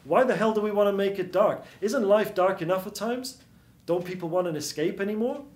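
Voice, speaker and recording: A man's voice: high voice, brisk, a little mellow, voice pushed up, natural voice. A man voicing a bitter, washed-up old mouse film star, world-weary and hard-boiled in noir style. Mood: angry. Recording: roomy stage sound.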